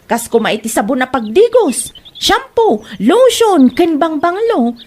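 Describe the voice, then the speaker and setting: A woman's voice: very high-pitched, sing-song, a little slow, a little mellow, exaggerated voice. A woman playing a frank friend who admonishes and advises, with an Ilocano accent, in a comedy audio drama. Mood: frank, advising. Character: frank, advice-giving female friend and neighbour.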